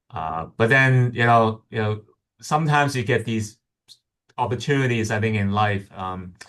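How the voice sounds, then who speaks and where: A man's voice: on the low side, a bit bright. A man from Hong Kong, a guest scientist answering interview questions in a hesitant way, with many ums and restarts.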